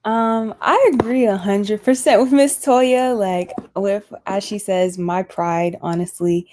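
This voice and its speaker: A woman's voice: fairly low pitch, a little mellow. A young woman on a church discussion panel, speaking hesitantly with lots of fillers.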